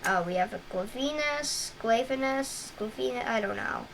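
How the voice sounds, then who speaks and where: A woman's voice: fairly high pitch, expressive, normal speed, gravelly, fairly bright tone. Young female gameplay host, scratchy, rambling and casual, noisy home recording. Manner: rambling, filler-heavy, frequent restarts.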